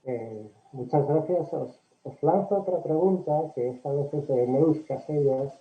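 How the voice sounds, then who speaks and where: A man moderating an online panel, passing questions politely and at a measured pace. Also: a little high. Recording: tinny echoey call audio.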